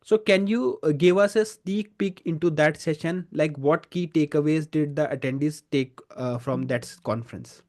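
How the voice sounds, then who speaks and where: Man, podcast host, somewhat high voice, polite, interviewing over a call. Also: moderate pace, few pauses, darkish tone. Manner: polite, thanking the guest often. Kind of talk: interview questions.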